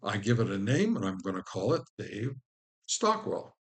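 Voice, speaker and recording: A man's voice: lowish voice, big pitch swings, normal speed. A man presenting an informal step-by-step tutorial as host, instructing the viewer directly. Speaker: host of a ham radio how-to channel.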